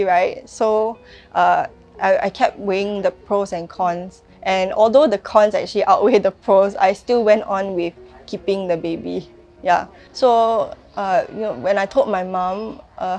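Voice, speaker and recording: A woman's voice: medium pitch, darkish tone. A Singaporean woman in her early twenties telling her story in a chatty way, full of "like" fillers. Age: 20s. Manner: chatty, frequent "like" and "lah" fillers. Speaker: young mother sharing her story. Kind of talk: personal testimony.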